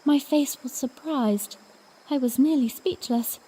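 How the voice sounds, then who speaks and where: A woman playing a spirited, tender young princess who recounts her adventure in expressive storybook phrasing for an audiodrama. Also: high voice, measured pace, rough recording. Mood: earnest, wistful. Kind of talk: first-person audiodrama narration with acted dialogue.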